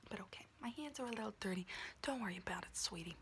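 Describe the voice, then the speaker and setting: A woman's voice: medium pitch, animated, very fast, slight background noise, natural voice. A woman voicing an unhinged, conspiracy-minded auntie doing someone's nails, whispering throughout in an ASMR roleplay and rambling with pet names.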